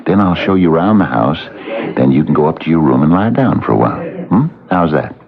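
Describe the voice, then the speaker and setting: Very deep-voiced, coaxing husband. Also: male voice, sing-song, a little fast, gravelly, heavily acted. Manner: folksy, hesitant, coaxing, repeats himself. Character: genial, coaxing married man, soothing, quietly hypnotic. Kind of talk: old-time radio drama acting. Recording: muffled old radio broadcast, music behind.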